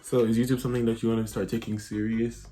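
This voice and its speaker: A man's voice: on the low side, little pitch movement, quick pace, dark tone, faint music behind. A man doing his sister's makeup while asking her mock-interview questions in a playful, teasing way. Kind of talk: casual chat.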